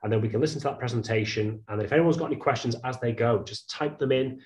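A man's voice: mid-range voice, fast, darkish tone. A man in his thirties hosting a live webinar over a video call, chatty and loose, often restarting his sentences. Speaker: webinar host.

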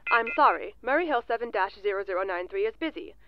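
An actress playing a brisk, impassive telephone operator in a 1940s-style radio drama, clipped, formal and politely unmoved. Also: female voice, mid-range voice, expressive, lightly acted. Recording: muffled, noisy telephone-style sound with clicks.

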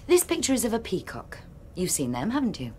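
A woman playing a brisk, coolly authoritative research doctor in a radio drama, speaking with clinical precision. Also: medium pitch, wildly swooping pitch, a little mellow, slight background noise. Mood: testing, controlled.

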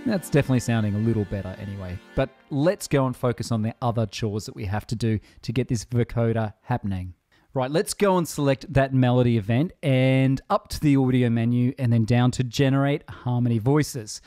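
A man giving a software tutorial voice-over in a casual, conversational way. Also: medium pitch, fairly quick, no room sound, studio quality, soft background music. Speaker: tutorial presenter.

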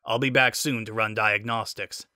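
A man voicing a gruff, fatherly old male hedgehog mechanic in a story reading, stern and scolding. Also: fairly low pitch, fairly even intonation, moderate pace, sharp tone, no room sound, clean studio sound. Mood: brisk, fatherly.

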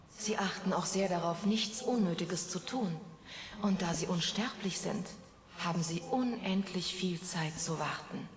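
An actress voicing a calm female guide who explains lore in a game. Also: on the low side, reverberant, long reverb tail, close to an everyday voice.

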